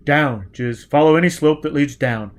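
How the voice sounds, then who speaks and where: Male narrator as hard-driven fugitive convict, gruff and commanding, clipped lines, adventure story reading. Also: on the high side, normal speed, lightly acted. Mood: determined, bossy. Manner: terse, clipped, forceful, uneducated grammar.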